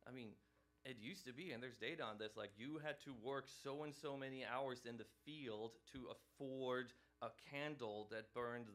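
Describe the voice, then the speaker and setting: A man from Norway speaks as he lectures a live audience. His voice sits in an ordinary middle pitch. He talks casually, tosses questions to the room and ends many points with "right?". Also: fairly bright tone. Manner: conversational, keeps asking "right?" and questioning the audience.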